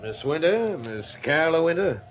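An actor playing a polite, formal police detective in a 1940s radio drama. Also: male voice, fairly high pitch, very animated, moderate pace. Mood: polite, official. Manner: courteous, formal. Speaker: supporting actor. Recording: dull old radio recording, faint noise.

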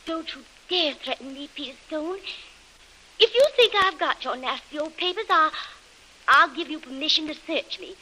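High, lively, bright-voiced coy schemer, fluttery and indignant. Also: female voice, moderate pace, low-quality recording. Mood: pouting. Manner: pouting, fluttery put-on Southern belle airs. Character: coy young woman spy feigning innocence. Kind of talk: 1930s radio acting.

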